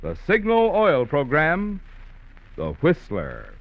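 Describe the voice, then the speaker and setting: A man announcing a 1950s radio program with a booming, sweeping delivery. His pitch sits a little high and swings wildly. The recording sounds dull and dated, with faint music behind him. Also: huge pitch swings, slow pace.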